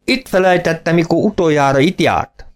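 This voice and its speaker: A man voicing a jovial, chubby, boastful farmer who teases loudly and laughs a lot, in a read-aloud story. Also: fairly high pitch, voice pushed up, close to an everyday voice. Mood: jovial teasing. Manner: loud, jolly, teasing.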